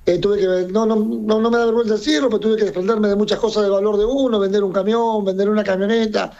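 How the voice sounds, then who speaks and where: A middle-aged man from Serbia, a circus owner, chats casually in an interview. His voice sits high for a man, and he rambles, restarting and repeating words as he goes. The recording is dull and lo-fi. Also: flat delivery, quick pace, mellow. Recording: dull lo-fi sound.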